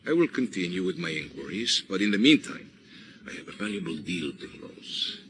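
An actor playing a suave middle-aged colonel with a Latin American accent, florid, theatrical and courteous, in a video game. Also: male voice, low voice, sing-song, moderate pace, noisy background. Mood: effusive, genial. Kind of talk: video game cutscene acting.

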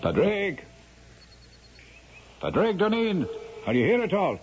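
An actor playing a sly, garrulous Irish storyteller in a radio drama, blustering and stammering with an Irish accent. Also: male voice, high-pitched, very animated, takes it slowly, soft background music, exaggerated voice. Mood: anxious, blustering. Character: sly, garrulous Irish storyteller and anxious old rogue father. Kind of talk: 1970s radio drama acting. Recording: dull, noisy 1970s radio broadcast.

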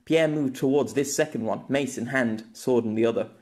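A man narrates an audiobook in a medium-pitched voice. He tells the story as dramatic scripted prose, carrying the action as a storyteller. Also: moderate pace, a little mellow.

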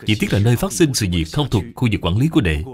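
A man's voice: lowish voice, sing-song, quick pace. A man voicing a devoted, anxious official and father in a dubbed cartoon, speaking with earnest concern. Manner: earnest, solicitous.

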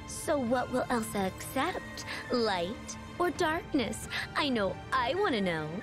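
A woman's voice: a little high, sing-song, takes it slowly, over music. A woman voicing a smug, taunting young villainess in a video game, with a sarcastic, condescending drawl. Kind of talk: video game cutscene acting.

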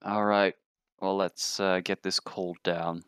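Male tabletop player, deep bass register, creaky, casual table talk, dry close sound. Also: little pitch movement, slow, a bit bright, no echo. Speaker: player in a tabletop roleplaying game.

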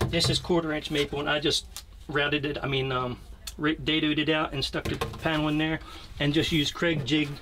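American male, 50s, slightly high voice, plain-spoken DIY tour guide talking off the cuff, clear background noise. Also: level tone, moderate pace. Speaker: trailer camper builder giving a tour. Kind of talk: casual unscripted walkthrough. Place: inside a small camper trailer with open door. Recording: clearly noisy location sound with clicks.

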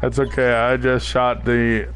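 A man's voice: mid-range voice, monotone, measured pace, a bit bright. A man from New England, chatting casually as a live streamer while playing a shooter, rambling and reading viewers' chat aloud. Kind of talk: casual gameplay commentary.

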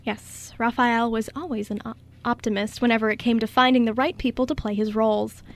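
A woman's voice: on the high side, fairly even intonation, a little fast. A woman reading scripted story narration as the narrator of a radio drama.

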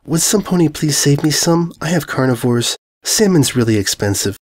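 Man voicing a gentle, quiet pony mare. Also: on the high side, animated, moderate pace, pitched above the performer's own voice. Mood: mild. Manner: quiet, mild.

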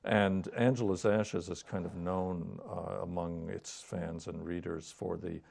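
Male interviewer, 60s. Low, creaky register, measured and tentative questions. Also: fairly even intonation, darkish tone. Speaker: interviewer, host. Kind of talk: literary TV interview. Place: TV studio, indoors. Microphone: lapel mic.

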